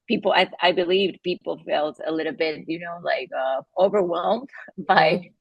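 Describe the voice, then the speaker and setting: A woman from Argentina, with an Argentinian accent and Spanish as her first language, talking in a casual interview, halting often with fillers and restarts. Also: lowish voice, creaky, breathy edge, dry recording, muffled recording. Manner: halting, with many fillers and restarts, laughs between phrases. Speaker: guest, company founder.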